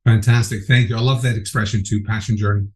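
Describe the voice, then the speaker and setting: A man's voice: on the low side, little pitch movement, quick pace, darkish tone. A middle-aged man from Egypt, a program director speaking as a podcast guest, earnest and enthusiastic with frequent restarts. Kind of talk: conversational interview.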